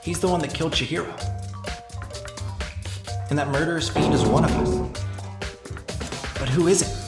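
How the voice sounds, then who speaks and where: A man's voice: on the high side, moderate pace, vocal fry, echoey room, over loud music. A man voicing an earnest, anxious but determined teenage schoolboy detective in a game dub, with an inner-monologue delivery. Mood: reflective, determined. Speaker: voice actor in a fan dub. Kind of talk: game dub narration and dialogue.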